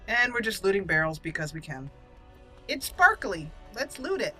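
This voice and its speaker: A woman with a very bright, crisp-edged voice narrates a video game casually. She thinks aloud in a playful way and slips into character, with faint music behind her. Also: medium pitch, big pitch swings, moderate pace. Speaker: gaming channel host, role-player. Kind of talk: casual let's play commentary with in-character lines.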